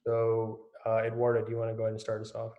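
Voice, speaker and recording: A man's voice: on the low side, completely flat delivery, fast talker, highs cut off. A man moderating a panel for students, in a casual way with many fillers. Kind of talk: hosting an online panel.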